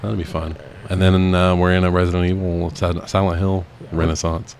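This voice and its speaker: A man's voice: low voice, flat delivery, moderate pace, a little mellow, a little hiss or hum. A man co-hosting a podcast, chatting casually and informally. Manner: informal, occasionally profane. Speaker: podcast co-host.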